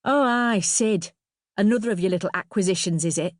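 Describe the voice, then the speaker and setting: A woman voicing a shrill, furious working-class mother in an audiobook, confrontational, bellowing and sarcastic. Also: moderate pace, no echo, clean studio sound, lightly acted.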